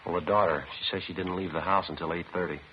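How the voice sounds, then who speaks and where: A man playing a stoic, methodical police detective, narrating in a clipped, deadpan 1950s radio drama style. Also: deep voice, quick pace, very noisy, lightly acted. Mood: sober, businesslike. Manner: deadpan, matter-of-fact. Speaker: narrator and lead actor. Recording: muffled vintage radio recording.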